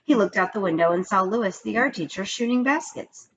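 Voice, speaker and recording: A woman reading a children's book aloud in an expressive storytelling style. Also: medium pitch, brisk. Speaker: storybook reader. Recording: dull lo-fi recording with background noise.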